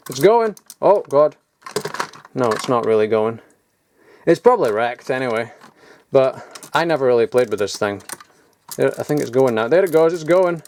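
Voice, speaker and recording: A man talks his way through a pile of old toys in an offhand, rambling voice, trailing off into mumbled asides and tossing in casual swears. His pitch sits in an ordinary middle range, and a faint hiss runs under the recording. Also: a little mellow. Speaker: toy collector vlogger. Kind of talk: casual off-the-cuff commentary while sorting toys. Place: cluttered loft.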